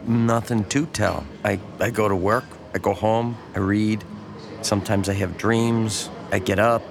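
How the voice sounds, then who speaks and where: A lonely, low creaky-voiced sad sack. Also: male voice, steady tone, a little slow, a crowd in the background. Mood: glum, withdrawn. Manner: hesitant, evasive. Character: lonely, withdrawn, apologetic small-town man. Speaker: actor in audio drama. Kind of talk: naturalistic audio drama dialogue.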